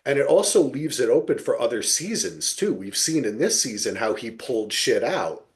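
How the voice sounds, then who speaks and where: A man with an American accent hosting a casual TV discussion, sweary and quick to act out imagined dialogue. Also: mid-range voice, expressive. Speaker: podcast host. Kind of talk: casual chat about television.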